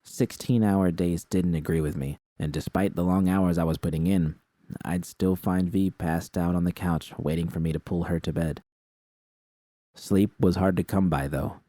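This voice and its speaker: A man's voice: sits low, fairly even intonation, normal speed, mellow, no echo. A man reading scripted first-person fiction as an audiobook narrator.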